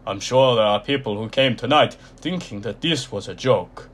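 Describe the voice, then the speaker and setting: A man voicing an ancient circus ringmaster, low and croaky, announcing the show in a menacing, theatrical way. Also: normal speed, fairly bright tone, close to an everyday voice. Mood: ominous, grand.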